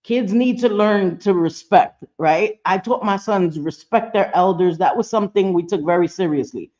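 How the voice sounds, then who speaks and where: A middle-aged woman talking plainly and bluntly, with a low voice she calls manly and a habit of laughing between points. Her speech is salty and casual, like a host riffing live. The audio sounds a bit muffled and lo-fi. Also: normal speed, warm tone. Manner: blunt, profane, laughing often. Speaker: livestream host. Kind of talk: casual reaction commentary.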